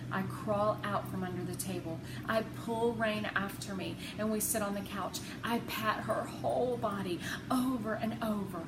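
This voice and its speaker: A woman narrating a children's novel expressively, with deliberate, dramatic phrasing. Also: medium pitch. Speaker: read-aloud narrator. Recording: roomy, noisy home recording.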